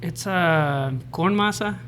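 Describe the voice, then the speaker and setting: A man's voice: high voice, takes it slowly, a bit bright, a little hiss or hum. A man from Idaho answering interview questions in short, plain sentences with restarts. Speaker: restaurant owner.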